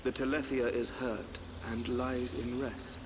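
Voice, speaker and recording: An actor playing an ominous, composed adversary in a video game, speaking in a measured, brooding way. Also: male voice, mid-range voice, flat delivery, natural voice. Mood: brooding, cold. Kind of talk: dramatic video game acting. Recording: long reverb, clear ambient noise.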